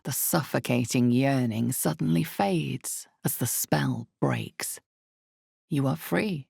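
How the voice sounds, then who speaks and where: A woman performing as an ominous, knowing storyteller in a dark fantasy game, narrating with deliberate, dramatic delivery and weighty pauses. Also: no echo, studio quality, full character voice. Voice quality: low, creaky.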